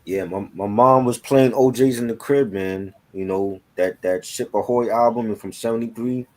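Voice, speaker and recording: This is a man with a low voice, co-hosting a relaxed talk show. He speaks informally and conversationally, as if chatting with friends, and often drops in a "you know". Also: normal speed. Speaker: co-host. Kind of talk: casual chat.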